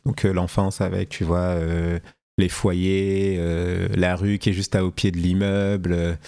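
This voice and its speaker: A man in his late thirties from France, with a fairly low voice. He talks as an interview guest in a loose, unhurried way. He hesitates often and drops slang as he recalls his youth. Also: flat delivery, mellow, clean tone. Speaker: guest, fashion entrepreneur. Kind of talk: casual interview talk.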